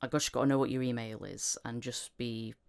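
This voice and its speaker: A woman hosting a casual podcast chat, talking in a halting, rambling, self-deprecating way. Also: a bass voice, steady tone, creaky, darkish tone, clear, resonant tone. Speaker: podcast host and voice actor.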